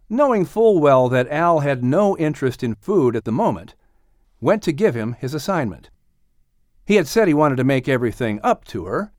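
A man narrating a children's story audiobook in an expressive, scripted storytelling style. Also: a little high, no room sound, clean studio sound.

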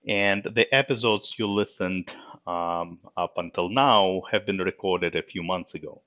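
A middle-aged man from Russia with a Russian accent, hosting a solo podcast episode, speaking haltingly with many fillers in a subdued way. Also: low voice, pushed and bright, no echo, lo-fi sound. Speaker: podcast host.

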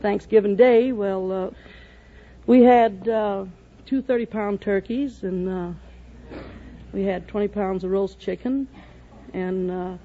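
A woman's voice: medium pitch, slow pace, long pauses. A middle-aged woman answering a quiz host's questions as a contestant, plain-spoken and hesitant. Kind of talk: unscripted interview answers. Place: stage before a studio audience. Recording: phone-like old radio recording, faint noise.

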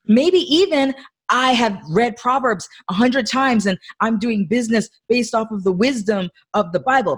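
A woman's voice: sits low, husky. A woman speaking as a podcast guest in a conversational teaching style, emphatic and repeating phrases for stress, often checking in with short tag questions. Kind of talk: conversational teaching on faith and business.

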